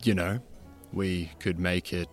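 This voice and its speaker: A man's voice: low voice, fairly even intonation, soft background music. A man playing a hesitant, easily hurt but tender young boyfriend on a date in an audio drama. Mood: tender, nervous. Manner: hesitant, halting.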